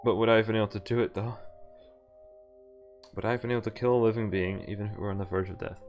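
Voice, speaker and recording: A man with a fairly low voice streams a visual novel, reading its text aloud. He drops in casual, profanity-laced comments. Music plays softly behind him. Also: steady tone, quick pace. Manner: swears freely.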